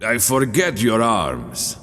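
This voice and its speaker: A man voicing a drunken, proud nobleman in an audiobook, merry and dismissive, with tipsy, drawn-out speech. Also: fairly low pitch, sing-song, fairly bright tone, voice pushed up, exaggerated voice. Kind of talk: audiobook character voice. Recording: long cavernous reverb.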